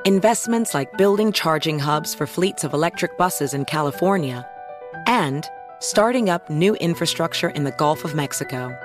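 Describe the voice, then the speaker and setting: A female announcer reads a corporate commercial script. Her voice is notably deep for a woman, with clear music playing underneath. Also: animated, normal speed. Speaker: advertisement announcer.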